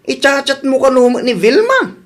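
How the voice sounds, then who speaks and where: A man's voice: very high voice, sing-song, normal speed, a bit bright, voice pushed up, exaggerated voice. A man voicing a gossipy, mocking male buddy in a comedy radio drama. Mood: teasing.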